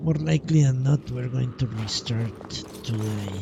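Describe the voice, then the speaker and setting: A man's voice: mid-range voice, moderate pace, gravelly, over music. A man giving casual, resigned and self-deprecating live commentary as he plays a video game, swearing mildly now and then. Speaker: livestream gamer.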